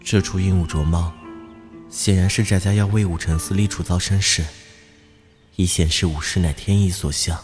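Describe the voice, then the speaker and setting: An actor playing a sober, cautious young prince and elder brother, measured and admonishing, in a historical audio drama. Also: male voice, deep voice, steady tone, darkish tone, faint music behind, close to an everyday voice. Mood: grave.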